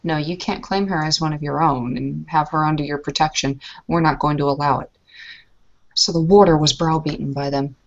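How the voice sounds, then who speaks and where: Woman, low voice, anxious, rambling, muffled lo-fi recording. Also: fairly even intonation, a little fast. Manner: anxious, rambling, many restarts. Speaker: player in a tabletop roleplay. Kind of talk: in-character roleplay conversation.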